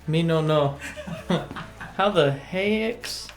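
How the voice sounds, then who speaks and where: A man's voice: on the high side, very slow, faint music behind. A man in his forties from the United States, chatting casually and joking around as a guest on a reaction video. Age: 40s. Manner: playful, jokey. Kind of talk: casual chat.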